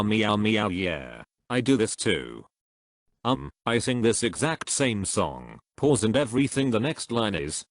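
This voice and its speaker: A man reading online comments aloud in an even, steady way. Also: lowish voice, dry recording.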